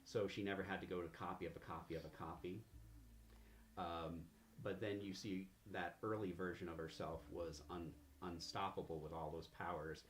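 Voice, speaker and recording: A man improvising narration as game master of a tabletop game, in a halting, drawn-out way with pauses. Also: low-pitched, fairly even intonation. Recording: faint background noise.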